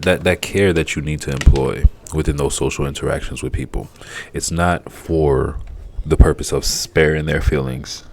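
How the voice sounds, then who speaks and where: A man's voice: extremely low pitch, slight background noise. A man whose first language is Haitian Creole, giving casual, profane, rambling commentary. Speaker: vlogger.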